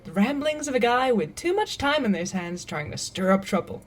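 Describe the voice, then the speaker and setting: A woman's voice: fairly high pitch, very animated, moderate pace. An actress playing a blunt, skeptical young woman who talks in a wry, casual way in an audio drama. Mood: dismissive.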